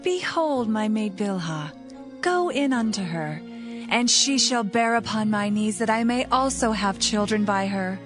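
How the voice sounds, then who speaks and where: Woman cast as envious young biblical wife, slow and pleading, music clearly behind. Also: medium pitch, animated, lightly acted. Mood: imploring. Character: Rachel, envious young wife desperate for children. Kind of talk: dramatized scripture reading. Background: background music.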